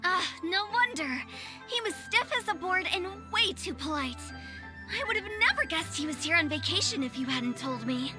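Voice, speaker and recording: A woman's voice: extremely high pitch, lively intonation, normal speed. A woman voicing a playful, self-assured young woman with a breezy, teasing manner in a video game. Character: playful, self-assured young female funeral parlor director. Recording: music behind the voice.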